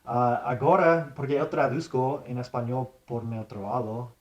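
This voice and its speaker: Young American man, English native speaker, interview guest with a halting learner's delivery and frequent restarts. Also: mid-range voice, steady tone, lo-fi sound. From United States. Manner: hesitant, many restarts and fillers, slips into English "yeah". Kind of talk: casual interview chat.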